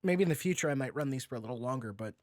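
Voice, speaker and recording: A man's voice: medium pitch, expressive, racing, darkish tone, no room sound, studio quality. A man hosting a podcast in casual chat, blunt, joking and freely swearing. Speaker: podcast host.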